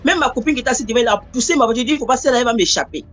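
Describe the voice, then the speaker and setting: A woman telling a personal story in a rambling, animated way, acting out the voices she quotes. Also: fairly high pitch, rapid-fire. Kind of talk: casual spoken storytelling.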